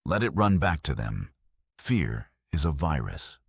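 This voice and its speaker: Storybook role: man playing a cold, calculating general, extremely deep with a rough edge, clipped orders. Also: big pitch swings, measured pace, no room sound, studio quality, exaggerated voice. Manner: terse, commanding, analytical. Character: stern, calculating male military commander Napoleon.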